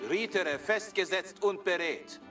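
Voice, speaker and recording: A man playing an eager, obedient medieval soldier with a German accent, barking brisk acknowledgements as video game voice lines. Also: sits high, fairly bright tone, faint music behind. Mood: brisk, ready.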